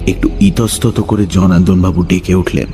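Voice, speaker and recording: A man's voice: sits low, steady tone, moderate pace, music in the background. A man narrating a suspense horror story from a script in a dramatic storytelling style.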